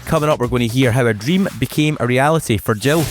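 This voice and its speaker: A man's voice: mid-range voice, soft background music. A man hosting a local radio interview, upbeat and encouraging. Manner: upbeat and encouraging, with a quick stock of praise. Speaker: radio show host.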